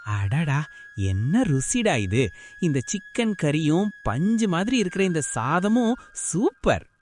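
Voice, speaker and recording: A woman voicing a hungry, eager young village man who talks with excitement in a cartoon story. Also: deep voice, slow pace, slight background noise, full character voice. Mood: delighted. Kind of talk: cartoon voice acting.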